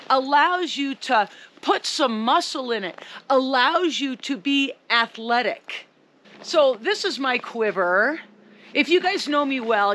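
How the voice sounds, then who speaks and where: A woman ski coach speaking emphatically to camera outdoors in the snow. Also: sits high. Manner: emphatic, upbeat, loud. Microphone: camera a few meters away.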